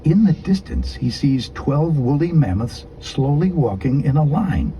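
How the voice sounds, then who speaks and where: Animated male storybook narrator over music. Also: on the high side, unhurried, dull audio, slight background noise. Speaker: audiobook narrator. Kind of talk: scripted children's storybook narration.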